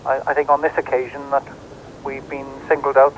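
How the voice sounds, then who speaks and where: A man's voice: medium pitch, completely flat delivery, fairly quick. A man with a British accent giving spontaneous interview answers as a firefighter. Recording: dull, faintly noisy 1980s TV tape.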